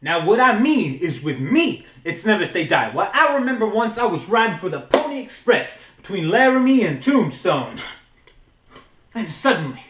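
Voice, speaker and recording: Man playing a booming, boastful Wild West showman. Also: big pitch swings, normal speed, a bit bright, far from the mic. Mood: boastful, excited. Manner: theatrical, grandiose storytelling. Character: boastful Wild West showman, swaggering frontier hero telling tall tales. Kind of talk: stage monologue. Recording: roomy, echoing indoor recording.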